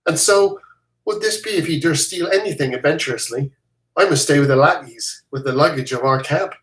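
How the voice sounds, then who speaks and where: A single reader voicing a clever young serving boy, pitched higher and speaking with wry quickness. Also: fairly bright tone, lightly acted. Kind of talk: reading a play aloud. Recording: roomy echo.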